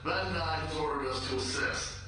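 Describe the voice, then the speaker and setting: A man's voice: a little high, moderate pace, music in the background. A man playing an urgent, commanding military officer over an echoing ship intercom in a sci-fi audio drama. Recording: echoing, distant intercom announcement.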